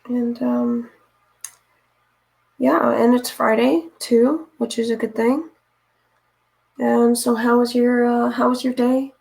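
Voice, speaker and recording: Woman streamer, raspy and a bit hoarse, fairly high voice, unhurried, chatty. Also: level tone, a little mellow, low-quality recording. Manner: chatty, talks to viewers while working, often unsure what to do next. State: hoarse from reading aloud. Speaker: streamer painting live. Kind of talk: casual chat while painting.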